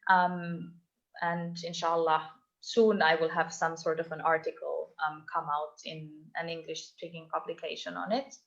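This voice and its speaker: A woman's voice: low-pitched, little pitch movement, measured pace, creaky. A woman giving an online talk about her research, thoughtful and full of ums and restarts, heard over a video call. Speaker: invited speaker, doctoral researcher. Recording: dull lo-fi online call audio.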